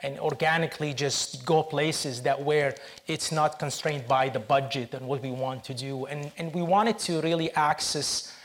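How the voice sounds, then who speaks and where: A man from Syria, a film producer answering interview questions in a halting, earnest way with many restarts. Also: on the high side, fairly even intonation, fairly bright tone.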